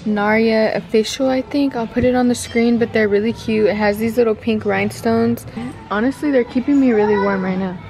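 A woman's voice: a little high, steady tone, a little fast, over music. Chatty young woman vlogging on her phone. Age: young adult. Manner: chatty, crude and playful. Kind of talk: casual vlog chat. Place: car, thrift store and gym. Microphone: handheld phone camera.

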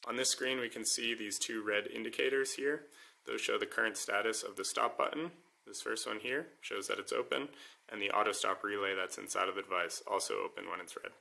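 A man calmly walking through how his homemade device works, step by step, in a voice-over demo. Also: fairly low pitch, creaky. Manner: calm, methodical step-by-step explaining. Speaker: maker demonstrating his device.

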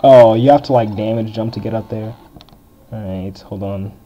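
A young man with a deep voice giving casual, rambling commentary while playing through a retro video game. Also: moderate pace. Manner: chatty, rambling, filler-heavy. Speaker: game walkthrough host. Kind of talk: casual let's-play commentary. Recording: dull lo-fi game recording. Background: faint game music.

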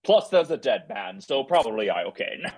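A man's voice: mid-range voice, sing-song, normal speed, a little mellow. A man playing a smug, pompous prince with coolly threatening wit, in a live script read. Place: indoors, on a group video call.

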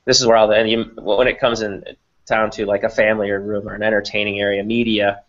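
A man's voice: lowish voice, flat delivery, quick pace, fairly bright tone. A middle-aged man explaining things as an interview guest on a video call from an office. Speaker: company president, interview guest. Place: small office room, indoors. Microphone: computer mic on a video call. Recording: dull, lo-fi video call audio.

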